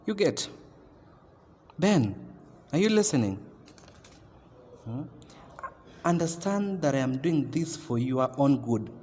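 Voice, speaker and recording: An actor with a Kenyan accent playing a stern class teacher who lectures and scolds in a halting, emphatic way in an amateur radio drama. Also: male voice, mid-range voice, lively intonation, darkish tone. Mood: earnest, admonishing. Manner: admonishing, emphatic, halting delivery. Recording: noisy, very reverberant room.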